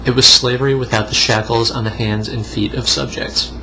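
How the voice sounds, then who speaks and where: A man's voice: mid-range voice, level tone, fairly quick, bright, forceful tone, a little hiss or hum, poor audio. A young man reading aloud mock-seriously, with wry, sarcastic asides. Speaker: co-host.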